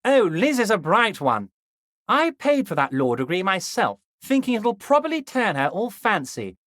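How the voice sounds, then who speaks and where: A man playing a smug, wily old union boss in a video game, folksy and insinuating, with mock modesty and drawn-out emphasis. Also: high voice, big pitch swings, a bit bright, no room sound, studio quality. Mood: mock-worried, sly. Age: older. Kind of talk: video game character dialogue.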